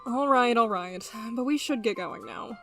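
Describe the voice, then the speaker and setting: A high, very expressive mother's voice, acted by a woman, with music behind it. This cartoon shapeshifter is doting, with a sardonic streak. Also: moderate pace, gravelly. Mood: teasing, warm. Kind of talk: animated cartoon acting.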